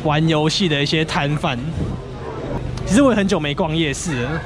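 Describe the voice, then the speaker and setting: A young man from Taiwan chatting casually and relaxed as he walks through a night market. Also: fairly high pitch, moderate pace, music in the background. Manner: relaxed, chatty, many fillers. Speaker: vlogger. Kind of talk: casual walking-tour vlog narration. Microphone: handheld action camera. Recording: loud crowd and street noise. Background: crowd chatter and traffic.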